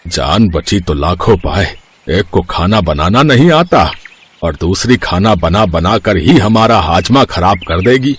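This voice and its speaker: A man voicing a grumbling middle-aged father-in-law in a cartoon family story, with a husky voice. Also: low-pitched, expressive, a little slow, a little hiss or hum. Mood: relieved, grumbling. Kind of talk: Hindi cartoon story dialogue.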